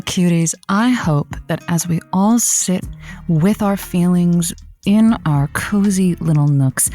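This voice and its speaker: A woman's voice: lowish voice, warm tone. A woman playing a flirty, lovelorn late-night radio host in an audio drama. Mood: cozy, playful.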